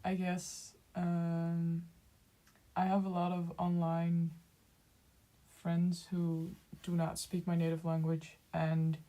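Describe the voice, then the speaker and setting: A young woman with a Dutch accent, chatting casually with viewers while she draws, speaking softly and haltingly with many fillers and small laughs. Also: on the low side, completely flat delivery. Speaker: streamer. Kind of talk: casual chat while drawing on stream.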